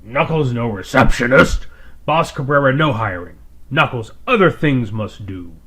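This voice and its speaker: A man voicing a huge, dim, boastful alien enforcer with a rough rasp and broken English. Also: mid-range voice, expressive, moderate pace, fairly bright tone, lightly acted. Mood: dismissive. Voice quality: rough, raspy.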